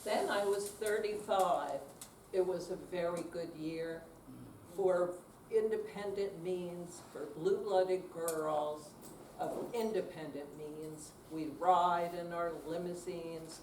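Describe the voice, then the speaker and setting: Older female stage reader, slightly low voice, measured dramatic pace, echoey room. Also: fairly even intonation, a little mellow. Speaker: amateur readers theater performer. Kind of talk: slow dramatic reading on stage. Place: room at a senior center. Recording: distant, echoey room with faint noise.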